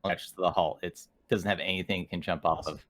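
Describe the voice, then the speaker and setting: A man's voice: low-pitched, steady tone, quick pace, a bit bright, no room sound. A man talking casually as a player at a tabletop game, working out his moves.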